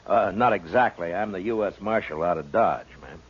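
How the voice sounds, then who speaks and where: An American actor playing a steady, dry-humored Old West marshal in measured 1950s radio drama style, a man of few words. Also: sits low, slightly rough. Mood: calm, curious. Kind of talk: 1950s radio western acting and first-person narration. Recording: dull, lo-fi 1950s radio recording.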